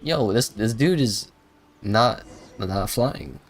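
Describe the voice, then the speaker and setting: A man's voice: lowish voice, expressive, slow. A young man doing casual, slangy live game commentary, rambling and muttering to himself. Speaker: game streamer. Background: video game music.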